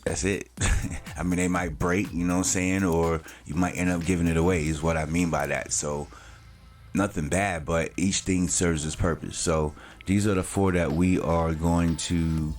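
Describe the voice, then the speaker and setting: Deep-voiced guy pushing fifty, talks loose with lots of slang and cussing, soft music in the back. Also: steady tone, moderate pace. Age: 40s. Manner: loose and slangy, swears freely, filler-heavy. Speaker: channel host. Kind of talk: casual vlog monologue.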